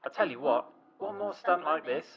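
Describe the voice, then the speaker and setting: A man's voice: fairly high pitch, expressive, moderate pace, gravelly, a crowd in the background. A man playing a furious, domineering middle-aged father, scolding and threatening his son in a radio drama voicemail. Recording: dull lo-fi voicemail with beep.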